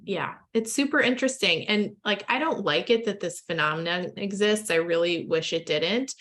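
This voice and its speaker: A woman's voice: medium pitch, normal speed, fairly bright tone. A woman leading a discussion for medical residents, talking in a loose, conversational way full of small repeats. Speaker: lecturer.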